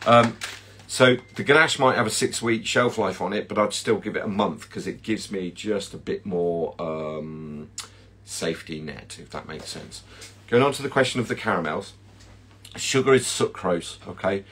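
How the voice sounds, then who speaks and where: Low-voiced, chatty middle-aged man. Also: normal speed, breathy edge. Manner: chatty, digressive, self-correcting. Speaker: chocolatier teacher and host. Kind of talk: casual livestream chat and explanation. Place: small indoor work kitchen. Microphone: phone at arm's length. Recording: steady air-conditioning hum, kitchen room noise.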